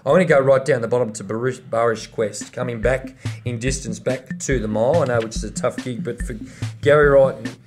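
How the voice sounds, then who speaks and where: A man's voice: medium pitch, steady tone, fairly quick, few pauses, a little mellow, faint music behind. A man co-hosting a racing preview, giving tips in a conversational, enthusiastic way. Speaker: racing show co-host.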